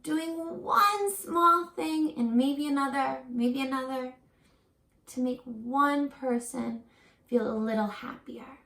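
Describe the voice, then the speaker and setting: A woman reads aloud to young children in a high, unhurried voice with some creak at the edges. She is gentle and encouraging, acting out the book and turning to the listener with questions, like a teacher with a class. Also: reverberant.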